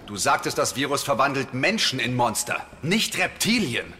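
A young man playing a gruff, bewildered but brave police officer in an audio drama. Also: medium pitch, big pitch swings, moderate pace, a little hiss or hum. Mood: incredulous. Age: young adult. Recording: long reverb, loud background music.

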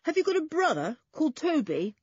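A woman voicing a cheerful, sensible older schoolboy who leads the group in a children's storybook, brisk and hearty. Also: lowish voice, very animated, husky, no room sound, muffled recording. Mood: calling out.

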